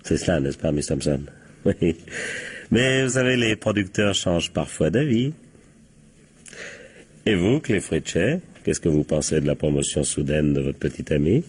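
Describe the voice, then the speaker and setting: A man playing a shrewd, ironic police captain who deliberately corners suspects in a French radio crime drama. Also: a bass voice, very animated, breathy edge, full character voice. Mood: sly, ironic. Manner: deliberate, ironic, teasing rhetorical questions.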